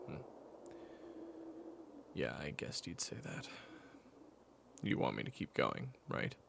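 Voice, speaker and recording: A man playing a weary, patient caretaker in an intimate audio roleplay, speaking in a hushed, hesitant way. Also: sing-song, slow pace, background noise, exaggerated voice. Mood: patient, reassuring. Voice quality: very low gravelly voice. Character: weary, patient man caring for an amnesiac companion, retelling their past.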